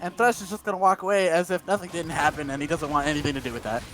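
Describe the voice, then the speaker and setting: High-pitched breathless esports caster man. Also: fairly even intonation, a bit bright, a little hiss or hum. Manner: rapid breathless calls, tripping over words. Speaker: play-by-play caster. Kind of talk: esports play-by-play commentary.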